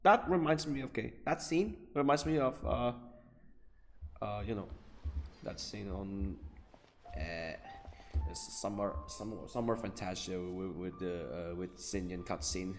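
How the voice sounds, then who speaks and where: A man streaming a game, giving casual commentary in a halting, rambling way as he thinks aloud. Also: lowish voice, background noise. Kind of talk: casual live gameplay commentary.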